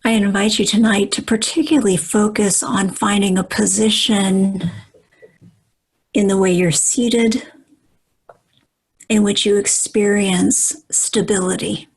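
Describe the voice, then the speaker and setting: A woman guiding a meditation in a soft, breathy voice, calm and soothing, with measured phrases and pauses. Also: mid-range voice, poor audio. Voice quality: soft, breathy, at times near whisper. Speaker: meditation guide. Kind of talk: guided meditation.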